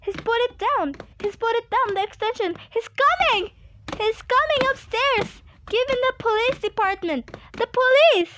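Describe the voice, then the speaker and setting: Young woman acting a high-pitched, panicky invalid wife. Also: expressive, moderate pace, background noise. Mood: terrified, pleading. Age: young adult. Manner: agitated, stammering, imperious. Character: nervous, demanding, panicky invalid wife home alone. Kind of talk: amateur radio play acting.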